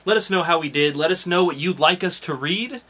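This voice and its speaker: A man's voice: on the high side, fairly quick, bright, forceful tone, a little hiss or hum. A man hosting a podcast in a casual, chatty style. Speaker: podcast host.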